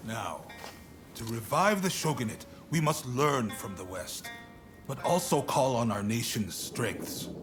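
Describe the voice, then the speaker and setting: A shrewd male reformer in a dramatic game cutscene. His pitch is mid-range but swings widely as he makes formal, declamatory appeals. Music plays behind him. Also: fairly bright tone. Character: shrewd, theatrical male statesman and reformer recruiting helpers.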